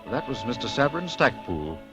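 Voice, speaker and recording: An actor playing a suave, wisecracking American adventurer in an old-time radio drama, with an American accent and breezy, wry banter. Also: male voice, medium pitch, sing-song, a little fast, music in the background. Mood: relieved, breezy.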